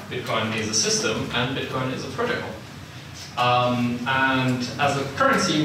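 A young man from the Italian-speaking part of Switzerland, with a Swiss accent, giving a relaxed, self-deprecating seminar talk full of ums and uhs, recorded in a lecture room. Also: medium pitch. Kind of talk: technical lecture to a live audience. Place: lecture room with a projector screen. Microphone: far room camera, no visible mic. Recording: echoey room, distant mic, background noise.

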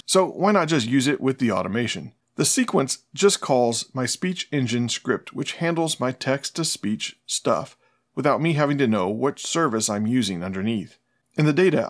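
A middle-aged man, presenting a scripted tutorial in a plain-spoken, explanatory manner. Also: mid-range voice. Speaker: host of a smart home tutorial channel.

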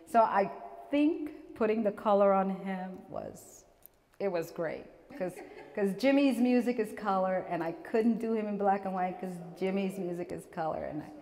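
A middle-aged woman from Guyana giving a relaxed, chatty talk about her portraits, laughing often and restarting her sentences. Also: medium pitch, normal speed. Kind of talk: gallery artist talk. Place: small gallery room with seated audience, indoors. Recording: long reverb from the room.

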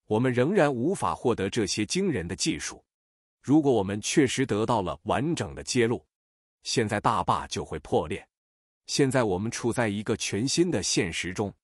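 An earnest, lively low-voiced advocate. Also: male voice, no echo, clean studio sound. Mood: grave urgency. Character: earnest impassioned male disclosure advocate. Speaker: dubbing voice of a documentary interviewee. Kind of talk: dubbed documentary monologue, earnest persuasion.

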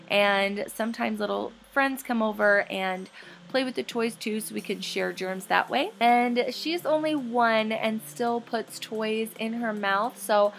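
A woman's voice: medium pitch, vocal fry. A woman in her thirties giving a chatty, bubbly voice-over for a home cleaning tutorial, with light laughs. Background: soft background music.

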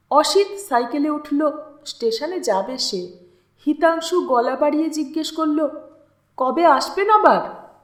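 A woman reading a literary story aloud in an expressive, dramatic way, acting out the dialogue. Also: high-pitched. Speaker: storyteller, audiobook narrator. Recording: roomy, echoing sound.

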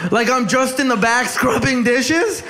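A man's voice: very high-pitched, unhurried. A young man from El Salvador doing a brash, crowd-working stand-up set on a club stage, holding a handheld mic. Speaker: stand-up comic. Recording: echoey club with crowd noise.

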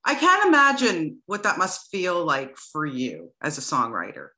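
A woman's voice: low voice, very animated, measured pace, sharp tone. A middle-aged woman interviewing guests over a video call from her living room, effusive and chatty, with many restarts.

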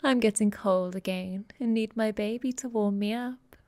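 A woman's voice: mid-range voice, moderate pace, mellow, dry recording, lightly acted. A woman playing a playfully dominant, affectionate vampire girlfriend in an intimate ASMR roleplay, cooing and teasing, often dropping toward a whisper.

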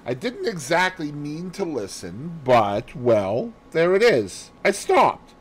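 Man putting on a higher-pitched, loyal housemaid. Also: sing-song, slow, slight background noise. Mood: earnest, respectful. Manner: emphatic, deferential. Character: loyal, indignant housemaid, respectful and plain-spoken woman. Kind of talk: audiobook character voice.

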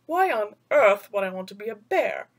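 A reserved male panther from a children's storybook, put on by a woman reading aloud. The voice drops lower than the reader's own and speaks in a measured, skeptical, protesting way. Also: big pitch swings. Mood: stunned, skeptical.

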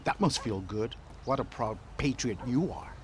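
An actor playing a proud, bitter old man in a video game, deliberate and contemptuous. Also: mid-range voice, expressive, close to an everyday voice. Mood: scornful. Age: older. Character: proud, bitter, scornful old man defending his family. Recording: faint outdoor background noise.

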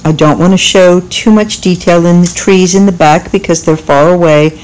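A middle-aged woman giving instructional narration as she paints, explaining her choices in a deliberate way. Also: on the low side, fairly even intonation, a little mellow, low-quality recording.

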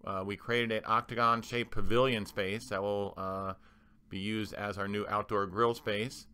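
A man giving a halting, explanatory voice-over for a slide presentation, speaking as a manager laying out plans. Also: on the low side, flat delivery, sharp tone, slight background noise.

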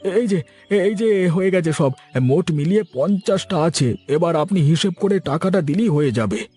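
A man's voice: high voice, animated, moderate pace, dark tone, soft background music. A man playing a humble, deferential poor farmer in a cartoon. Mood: pleased. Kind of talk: cartoon voice acting.

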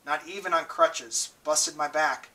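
A man reading aloud and voicing a gentle, scared sixteen-year-old boy who is badly hurt and speaks weakly, haltingly. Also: a little high, steady tone, distant mic. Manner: weak, quiet and halting.

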